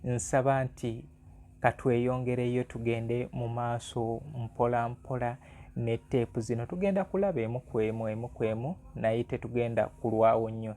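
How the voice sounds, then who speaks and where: A man's voice: medium pitch, steady tone, normal speed, warm tone. A Ugandan man hosting an informal music vlog, talking conversationally and repeating phrases for emphasis. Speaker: music analyst and channel host.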